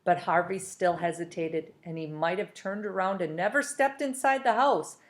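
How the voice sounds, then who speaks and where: A woman's voice: fairly low pitch, moderate pace. A woman narrating a children's novel aloud as a storybook reader.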